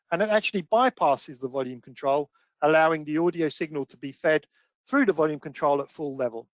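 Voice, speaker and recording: A man with a slightly high voice, recorded dry and close. He presents technical training in an explanatory style, working through each point step by step with practical examples. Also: no echo. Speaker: webinar presenter, audio trainer.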